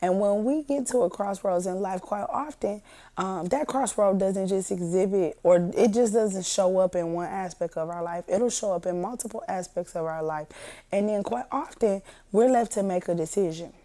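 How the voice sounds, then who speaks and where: A young woman hosting her own podcast while sick, her voice hoarse and partly gone, chatting folksily with listeners she calls family. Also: medium pitch, moderate pace, dark tone. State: partially lost voice from sickness. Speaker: podcast host. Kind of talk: casual motivational podcast talk.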